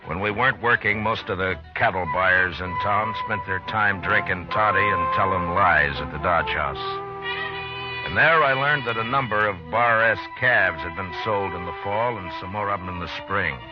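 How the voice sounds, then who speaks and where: An American actor playing a steady, weary frontier lawman in a radio western, measured and plain-spoken. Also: deep voice, close to an everyday voice. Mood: wry, steady. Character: steady, weary frontier lawman, adult man, fair-minded. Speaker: actor and first-person narrator. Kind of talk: 1950s radio western acting. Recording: dull old radio recording, music behind.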